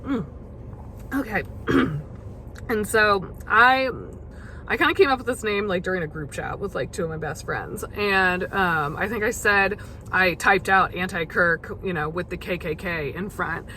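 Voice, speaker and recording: A young woman hosting a casual solo podcast, chatty and full of fillers, her voice gravelly as she loses it. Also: on the low side, unhurried, bright, forceful tone, slight background noise. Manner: chatty, many fillers and restarts. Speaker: podcast host.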